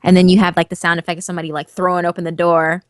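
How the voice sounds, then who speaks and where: A woman's voice: lowish voice, racing, no echo. Emphatic woman horror narrator, dry sound. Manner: animated and emphatic, swears casually. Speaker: horror story narrator and guest. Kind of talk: casual interview chat.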